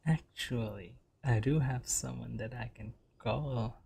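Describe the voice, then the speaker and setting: A woman's voice: rumbling low, slow, vocal fry, dark tone, slightly rough. A woman game streamer giving casual reaction commentary as she plays, with game audio behind her. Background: game audio and music.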